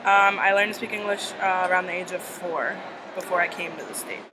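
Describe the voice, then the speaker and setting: A woman's voice: fairly low pitch, a little fast. A young woman whose first language is Arabic, answering interview questions in an easygoing, reflective way. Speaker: student interviewee. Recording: noisy outdoor recording.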